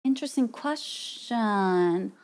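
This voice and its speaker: A woman's voice: medium pitch, lively intonation, slow pace, clear, resonant tone. A young woman from Korea, streaming and chatting casually with viewers, full of fillers and laughs.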